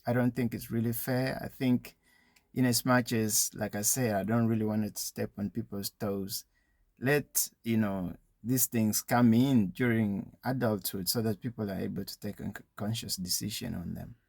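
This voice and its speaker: Creaky-voiced man giving an informal explanatory talk. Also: fairly low pitch, steady tone, moderate pace, darkish tone, no echo. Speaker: speaker giving educational advice to young people.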